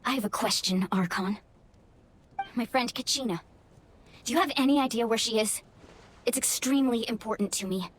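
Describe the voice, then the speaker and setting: A woman's voice: fairly high pitch, normal speed, pauses often, slight background noise, close to an everyday voice. An actress playing a fiercely loyal young woman warrior in a video game, earnest and emotional. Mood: worried, insistent. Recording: music under the voice.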